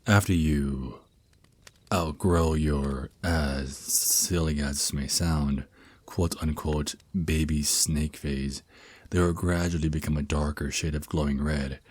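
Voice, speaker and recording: A man's voice: extremely low pitch, fairly even intonation, slightly rough, no echo, heavily acted. A man voicing a teasing, protective vampire naga boyfriend who mentors his lover in an intimate, reassuring ASMR roleplay. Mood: caring, instructive. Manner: soft teasing endearments, reassuring, unhurried. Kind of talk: ASMR roleplay, intimate one-sided dialogue.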